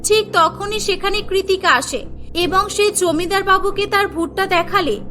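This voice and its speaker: A woman's voice: very high-pitched, unhurried, pushed and bright, faint music behind. A woman narrating a scripted cartoon story.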